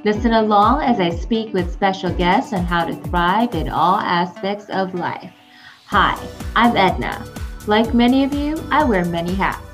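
Woman, podcast host, upbeat, encouraging, mid pitch, faint background music. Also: measured pace. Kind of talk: podcast intro and interview.

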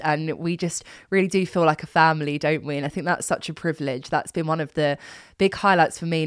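A woman's voice: low-pitched, clean tone, no echo, studio quality. A woman hosting a podcast in a conversational interview style, speaking in an effusive, encouraging way. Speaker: podcast host.

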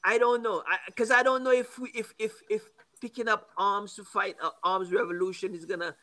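A man in his fifties from Haiti, a coffee business owner, talking casually in an interview with halting, repeated words. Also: high voice, steady tone, bright, forceful tone. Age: 50s.

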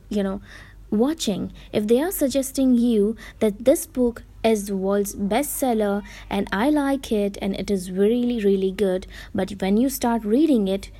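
A woman's voice: a little high, mellow. A woman from India with an Indian English accent, whose first language is Gujarati, giving earnest advice in a casual vlog and correcting herself as she goes. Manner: earnest, explanatory, with self-corrections. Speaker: vlogger giving reading advice. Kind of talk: casual talking-to-camera advice.

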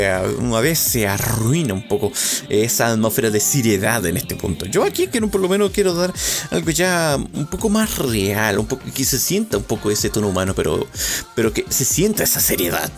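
A man's voice: medium pitch, creaky. A man with a Chilean accent, hosting a rambling, self-mocking podcast monologue with lots of swearing. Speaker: podcast host.

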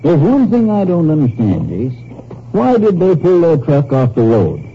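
An actor playing a helpful, plain-spoken small-town sheriff, folksy and easygoing, in 1950s radio drama style. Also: male voice, a little high, huge pitch swings, normal speed, dark tone. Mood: folksy, puzzled. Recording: muffled, noisy old radio recording.

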